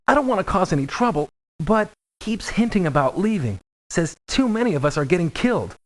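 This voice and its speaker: A woman's voice: on the low side, close to an everyday voice. A woman playing an anxious, earnest rookie mercenary in a video game, pleading and hesitant in manner. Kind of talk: video game character lines.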